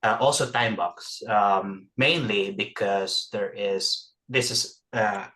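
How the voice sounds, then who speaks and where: A man's voice: lowish voice, slow pace, pushed and bright, low-quality recording. A man asking a question in a webinar over a video call, hesitant, with many uhs. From Philippines. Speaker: audience member asking a question.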